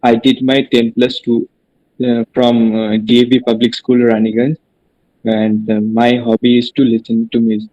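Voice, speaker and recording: Young bengal guy introducing himself, monotone, dry muffled audio. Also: medium pitch, moderate pace, no echo. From West Bengal, India. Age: young adult. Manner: flat, hesitant. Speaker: student. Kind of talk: self-introduction. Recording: dry dull call audio.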